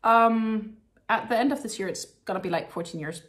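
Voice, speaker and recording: A woman's voice: mid-range voice, brisk. Chatty Danish-accented woman with frequent ums. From Denmark. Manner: chatty, many ums and likes, drops to a soft murmur while handling yarn. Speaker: crochet vlogger. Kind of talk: casual unboxing show-and-tell.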